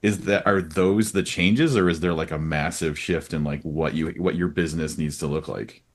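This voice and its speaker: Very deep-voiced man hosting an interview. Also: fairly even intonation, normal speed. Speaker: interviewer, host. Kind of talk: casual conversational interviewing.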